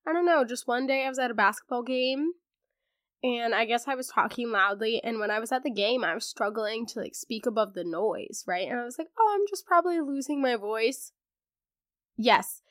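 A teenage girl talks through a podcast episode in a fairly high voice, stuffy and congested from a lingering throat illness. Her chat is loose and sarcastic, full of "um" and "like". The sound is close and dry, with no room around it. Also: lively intonation, moderate pace, a bit bright, no echo. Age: teens. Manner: chatty and sarcastic, many fillers. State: congested, recovering from laryngitis. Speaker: podcast host. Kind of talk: casual chat.

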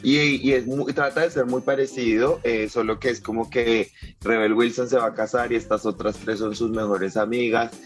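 A man co-hosting a casual podcast, hoarse and congested, with a campy, playful way of talking. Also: mid-range voice, fairly even intonation, moderate pace, faint music behind. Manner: campy, playful, uses feminine slang. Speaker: podcast co-host.

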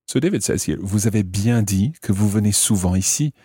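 Low, husky male language teacher. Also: a little fast, dark tone, no room sound, clean studio sound. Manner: methodical, spells out and repeats phrases. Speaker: language teacher and podcast host. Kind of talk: explanatory grammar lesson.

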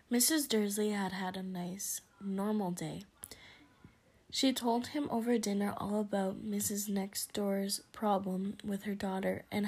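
A woman reading a storybook aloud in a soft, breathy whisper. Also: mid-range voice.

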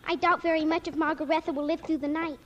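A woman's voice: high voice, steady tone, fast, highs cut off, lightly acted. A female performer playing a chatty, morbid, earnest little girl in 1940s radio style, precocious and matter-of-fact. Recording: noisy old radio recording.

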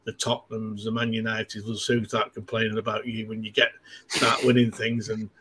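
A man's voice: lowish voice, completely flat delivery, normal speed, noisy background. A man in his sixties from Manchester with a Mancunian accent, a podcast guest chatting casually and rambling, with many fillers and restarts. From Manchester, England.

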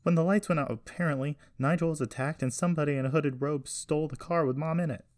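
A man's voice: a little high, fairly even intonation, fast, pitched above the performer's own voice, close to an everyday voice. A man voicing an angry, frantic young woman with an urgent, exasperated manner, in an audiobook story. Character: angry, frantic teenage granddaughter, defiant and urgent.

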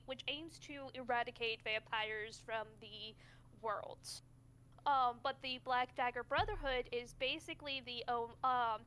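A woman's voice: high-pitched, pushed and bright, clean tone. A young woman co-hosting a podcast, chatting casually with a jokey, scattered manner and plenty of fillers. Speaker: podcast co-host. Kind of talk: casual chat.

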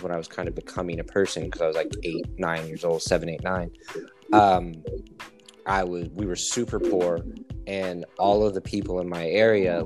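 Male guest, very low voice, rambling casual storyteller, faint music behind. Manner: rambling, hesitant, many "like" and "you know". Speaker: podcast guest.

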